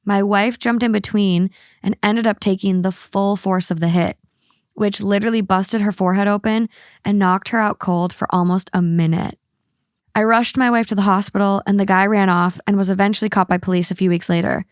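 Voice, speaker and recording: A woman hosts a casual podcast, moving between chat and reading stories aloud. Her voice sits at an ordinary pitch for a woman. The recording is close and dry, with no room sound. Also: steady tone, a little fast, smooth, no room sound. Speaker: podcast host.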